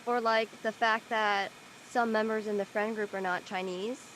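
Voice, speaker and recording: Mid-pitched woman with background noise. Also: fairly even intonation, moderate pace, fairly bright tone. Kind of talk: casual panel discussion. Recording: background noise and room ambience.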